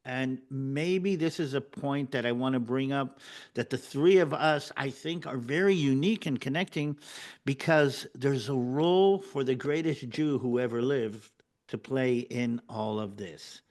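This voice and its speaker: A man's voice: medium pitch, darkish tone. Earnest man hosting a panel. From Israel. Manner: earnest, halting questions with repeated words. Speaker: host. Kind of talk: casual panel discussion.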